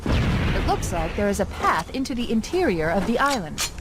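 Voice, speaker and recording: A woman playing a composed, quick-witted female military AI in a video game, speaking in an assured, analytical manner. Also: mid-range voice, big pitch swings, heavy background noise, lightly acted. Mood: focused, analytical. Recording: loud music behind the voice.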